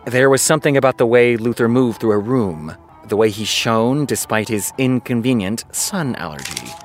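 A man's voice: medium pitch, expressive, moderate pace, faint music behind, natural voice. A man acting in a fiction podcast as an exasperated, sarcastic TV creator who reads fan fiction aloud. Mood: reading aloud. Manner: sardonic, muttering asides between read passages.

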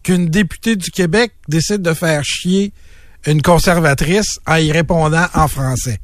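A man's voice: fairly high pitch, a little slow, dry recording, clean studio sound. A man with a Québécois accent co-hosting a radio talk show, wry and skeptical. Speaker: radio co-host.

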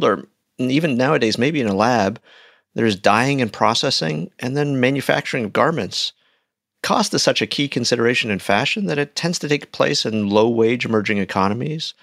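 A man co-hosting a podcast with a gravelly, creaky voice at a middle pitch. He talks in a thoughtful, musing way, and the recording is close and dry, with no room sound. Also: fairly bright tone, no echo. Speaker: podcast co-host. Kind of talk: conversational podcast talk.

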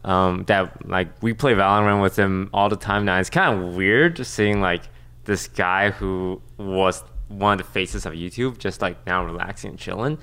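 A man's voice: deep voice, moderate pace, fairly bright tone, clear, resonant tone. A thirty-year-old man hosting a podcast, chatting in a reflective way and answering listener questions. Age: 30s. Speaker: podcast host. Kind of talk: casual chat.

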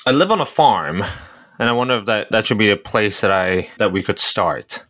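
Thoughtful man from Singapore, lowish voice. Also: expressive, moderate pace, fairly bright tone. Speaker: interview guest. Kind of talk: casual conversation.

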